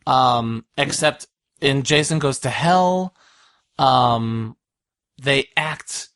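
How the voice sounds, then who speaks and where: A man co-hosting a podcast in casual chat, enthusiastic and rambling, his voice going hoarse. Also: mid-range voice, very slow, fairly bright tone, no echo. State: losing his voice. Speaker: podcast co-host.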